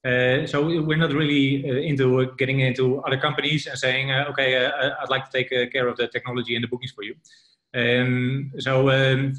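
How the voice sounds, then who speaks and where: A man in his forties answering on an online panel as a business owner, halting and repeating words. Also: mid-range voice, little pitch movement, a bit bright, reverberant, low-quality recording. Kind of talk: panel discussion. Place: room with large windows, video call.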